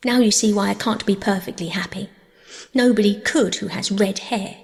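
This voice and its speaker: A woman's voice: mid-range voice, moderate pace, creaky, long reverb tail, natural voice. A woman voicing a chatty, dreamy, eager young orphan girl for an audiobook, with gushing, wordy delivery. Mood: wistful. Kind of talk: audiobook character voice.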